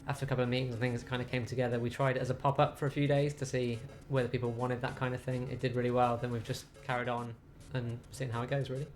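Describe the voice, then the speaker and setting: Flat-voiced man, guitar behind. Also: mid-range voice, fast, hardly any pauses. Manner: relaxed, rambling, uses many fillers. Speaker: games cafe owner. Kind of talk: interview about his business.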